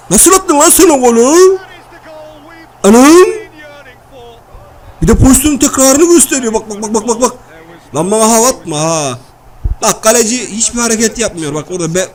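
Casting: male performer as crude, high-voiced comic everyman, slangy and blustering, nagging through cartoon game banter. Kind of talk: comic video-game banter and match commentary.

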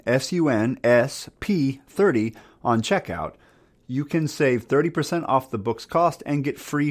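Man hosting an interview podcast. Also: mid-range voice. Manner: measured, asks questions plainly. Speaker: podcast host; university teacher.

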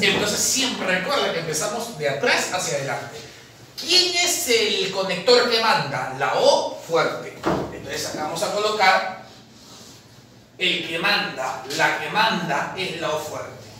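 A man teaches at a chalkboard in a lively, questioning way. His voice sits high and sounds sharp and bright. He is caught by a far-off camera in a very echoey room with a faint hiss underneath. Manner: lively, asks questions and answers them himself, calls the viewer "papi". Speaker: math tutor at an admissions academy. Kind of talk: chalkboard lesson solving exam problems. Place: classroom at a chalkboard, indoors.

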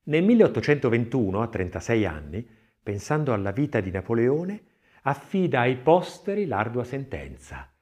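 A man reads literature as a narrator, in a declamatory, theatrical manner that moves between swelling and hushed phrases. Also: mid-range voice. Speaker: audiobook narrator. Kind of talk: dramatic literary reading of poetry and scripted narration.

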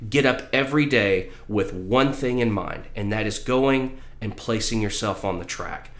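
A man's voice: on the low side, moderate pace, fairly bright tone. A middle-aged man giving an emphatic motivational talk to camera, repeating phrases to stress his points. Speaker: vlogger giving career advice. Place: small room indoors. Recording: roomy, echoey indoor sound.

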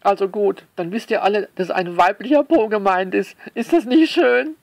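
A man delivering a sarcastic spoken essay to listeners, theatrical and mock-indignant, leaning on words with drawn-out emphasis. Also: high-pitched, creaky, no room sound. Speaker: author commenting on their own novel.

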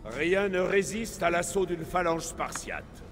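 An actor playing a proud, hot-headed Spartan commander in a video game, with a blunt, impatient martial manner. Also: male voice, sits high, lively intonation, measured pace, in a large hall. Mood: angry, defiant. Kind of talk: video game voice acting in French. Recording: game dialogue over loud music.

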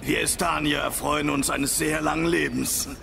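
An actor playing an effusive, formally polite, proud alien host with a creaky voice in a science fiction radio drama. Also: male voice, fairly high pitch, steady tone, moderate pace. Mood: gracious, proud. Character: courteous, proud, long-lived alien host showing visitors around. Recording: noisy ambience behind the voice.

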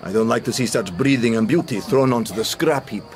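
A man plays a rich, suave racehorse owner in a radio play. His mid-pitched voice is terse and utterly assured, giving orders as one who expects to be obeyed. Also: fairly quick, a little mellow, lightly acted. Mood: measured, approving. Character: suave, commanding wealthy racehorse owner, shrewd and decisive. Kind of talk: radio drama acting.

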